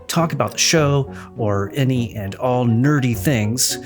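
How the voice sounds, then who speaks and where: A man's voice: mid-range voice, measured pace. A man giving a friendly scripted promo read as an announcer for a podcast. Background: music.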